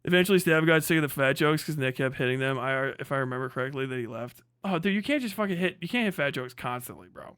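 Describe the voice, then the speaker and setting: A man's voice: medium pitch, lively intonation, fast talker, few pauses, a bit bright, clear, resonant tone, no room sound, clean studio sound. A man giving casual, comedic livestream commentary, profane and emphatic, often repeating phrases for emphasis. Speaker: livestream host.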